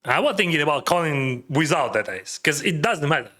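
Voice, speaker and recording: A man's voice: on the high side, sing-song, fairly quick, bright, forceful tone. A man from Ukraine with a Ukrainian accent and Russian as his first language, chatting casually on a poker live stream, advising viewers with lots of "like" and "uh". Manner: chatty, advising, heavy use of "like" and "uh". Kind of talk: casual live-stream commentary, answering chat.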